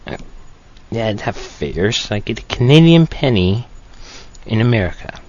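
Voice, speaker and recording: A man's voice: lowish voice, big pitch swings, a little slow, darkish tone, dull audio. A man hosting a podcast part-time in casual chat, rambling with many fillers and self-corrections.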